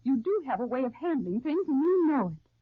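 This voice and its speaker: A resentful daughter who argues back at her family in 1930s radio-soap style. Her voice is high and swings widely in pitch. It comes through a thin, phone-like old broadcast recording. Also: sing-song, brisk, no room sound. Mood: defiant. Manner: defiant, accusing. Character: defiant teenage daughter, resentful.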